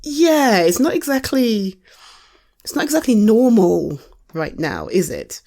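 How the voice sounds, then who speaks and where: A British woman playing a caring, supportive partner in an intimate conversational audio roleplay, speaking softly with a gentle, hesitant, coaxing manner. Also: on the high side, sing-song, measured pace, gravelly, heavily acted. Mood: gently cautioning.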